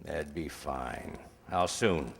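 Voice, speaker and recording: An actor playing a confident, calculating drug dealer in a radio crime drama, deliberate and oilily polite. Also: male voice, a bass voice, vocal fry, exaggerated voice. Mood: eager. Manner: deliberate, insistently precise, oily courtesy. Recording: reverberant hall.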